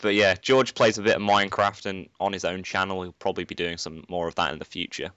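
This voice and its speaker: A man's voice: deep voice, steady tone, fast talker, fairly bright tone, clean tone, dry recording, dull audio. A teenage boy hosting a casual gaming commentary, chatty and rambling with lots of filler phrases. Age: teens. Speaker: channel host, gamer.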